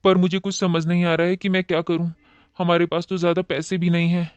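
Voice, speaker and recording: Man acting a flat-toned, earnest young husband. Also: sits high, a little fast, clean tone, dry recording, lo-fi sound, lightly acted. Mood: apologetic, worried. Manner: earnest, apologetic. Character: humble, worried, loving young husband. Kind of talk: cartoon moral story acting.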